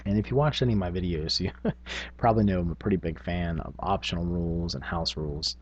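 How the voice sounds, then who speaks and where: A man's voice: sits low, quick pace, husky, highs cut off. A man hosting a tabletop gaming vlog, talking casually in a rambling, self-effacing, unscripted way. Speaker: tabletop gaming video host.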